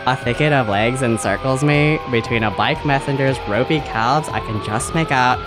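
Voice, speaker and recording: A man's voice: medium pitch, normal speed, over music, a crowd in the background. A man speaking as a podcast book club panelist and reader. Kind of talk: casual chat and dramatic book reading.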